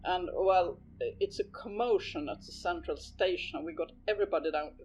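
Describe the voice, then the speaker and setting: A man's voice: high voice, darkish tone, slight background noise, natural voice. Man playing a stammering, harried cop. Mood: urgent. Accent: Swedish. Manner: stammering, hurried. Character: harried male police officer on the phone.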